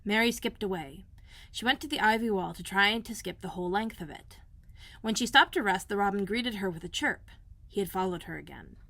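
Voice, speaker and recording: A woman librarian reading a children's book aloud in a gentle, clear storytelling manner. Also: medium pitch, brisk, no echo. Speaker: school librarian.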